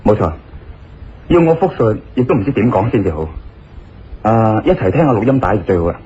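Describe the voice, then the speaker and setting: A man's voice: on the low side, lively intonation, brisk, warm tone, dull audio, close to an everyday voice. A man acting an assured, reassuring investigator in a Cantonese radio drama. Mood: calm.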